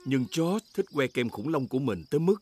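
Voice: mid-range voice, lively intonation, fairly quick, a crowd in the background, close to an everyday voice. A narrator telling a preschool cartoon story in storybook style. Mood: storytelling.

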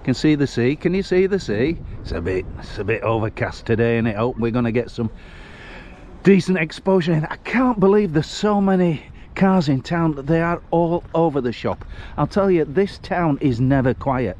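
A man's voice: on the high side, animated, normal speed, darkish tone. A man in his sixties with a Northern English accent, chatting in a rambling, folksy way as he vlogs on a walk. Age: 60s. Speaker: vlogger. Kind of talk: casual walking vlog chat. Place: outdoors on a seafront street. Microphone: handheld camera while walking.

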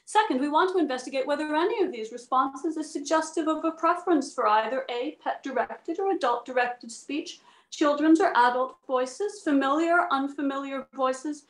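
A woman's voice: high-pitched, normal speed. A woman presenting a research paper over a video call from a room at home. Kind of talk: dramatic reading of a scientific paper, then answering questions. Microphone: video call microphone. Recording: roomy, echoing video call audio.

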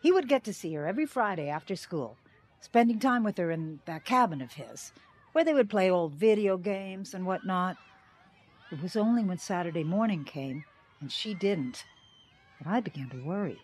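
A woman's voice: on the low side, expressive, normal speed, darkish tone. An actress playing a bitter middle-aged small-town teacher and mother, giving wry, theatrical testimony in an audio drama. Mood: subdued.

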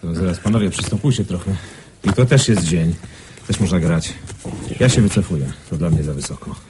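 An actor playing a cautious gentleman card player in a radio drama with a noisy recording. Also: low voice, brisk, close to an everyday voice. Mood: wary.

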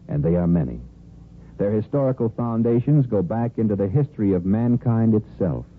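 A man with an American accent reading formal scripted narration as a 1950s radio announcer. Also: lowish voice. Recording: tinny, faintly hissy old radio recording.

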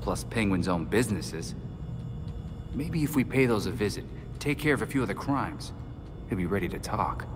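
A man's voice: lowish voice, expressive, normal speed, background noise, close to an everyday voice. An actor playing an earnest young male vigilante hero, self-doubting but dogged, in a video game cutscene. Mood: subdued, self-doubting.

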